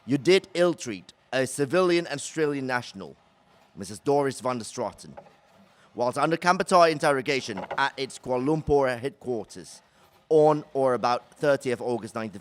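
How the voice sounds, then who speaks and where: Actor as formal military court official, mid-pitched. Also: male voice, lively intonation, slight background noise, close to an everyday voice. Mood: stern, official. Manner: formal, measured. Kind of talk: audio drama courtroom proclamation.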